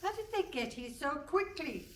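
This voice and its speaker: Radio comedy role: bossy older English female detective played by an actress, brisk and dry, high voice, distant stage mic. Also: big pitch swings. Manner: brisk, dry, condescending, calling people pet and love. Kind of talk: comic radio play before a live audience. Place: hall stage with a live audience. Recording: distant stage pickup with some noise.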